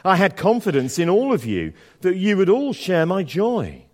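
A man preaching a sermon and reading scripture aloud, with a slightly croaky voice and a conversational, self-deprecating manner full of fillers and restarts. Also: sits high, very animated, normal speed. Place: church. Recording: long church reverb.

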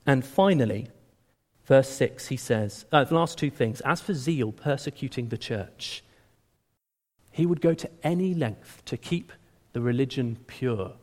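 A man preaching a sermon in a conversational, animated way, often restarting his words. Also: medium pitch, a little slow. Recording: long hall reverb.

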